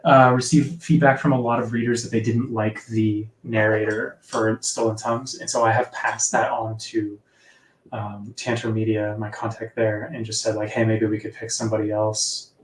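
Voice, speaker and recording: A man with a soft, sleepy-sounding voice, chatting casually as an author guest in a live interview. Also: lowish voice, little pitch movement, moderate pace. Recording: echoey room, distant from mic.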